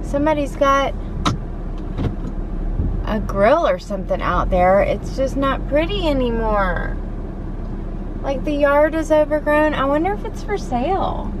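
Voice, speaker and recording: Woman in her forties, unhurried chatty vlog talk, loud road noise in a moving car. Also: sits high, lively intonation. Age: 40s. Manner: chatty, wistful, talks to viewers while driving. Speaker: vlogger. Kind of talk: casual driving vlog. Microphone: dashboard camera.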